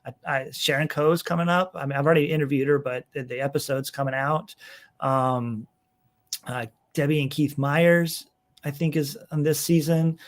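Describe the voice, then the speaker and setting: A man's voice: little pitch movement, normal speed. Casual, self-deprecating man in conversation. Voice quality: slightly high voice for a man. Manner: self-deprecating, rambling, informal. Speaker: podcast guest, church executive minister and author.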